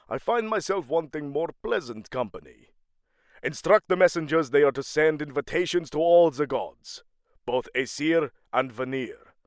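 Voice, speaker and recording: A man's voice: mid-range voice, no room sound, clean studio sound, close to an everyday voice. An actor playing a proud, aging king of the Norse gods in a fantasy audio drama, grandiose and commanding. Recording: music under the voice.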